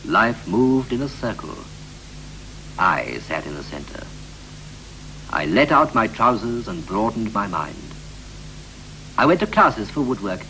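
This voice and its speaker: A wistful married man looking back on his life in a 1960s radio play. His lowish voice swings widely in pitch, with a bright, keen edge and measured, lyrical phrasing. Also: big pitch swings, exaggerated voice, low-quality recording. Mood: wistful, reflective. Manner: lyrical, measured, dramatic delivery. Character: wistful married man reflecting on war, youth, ageing. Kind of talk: 1960s radio play acting, poetic monologue. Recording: hissy, humming 1960s radio recording.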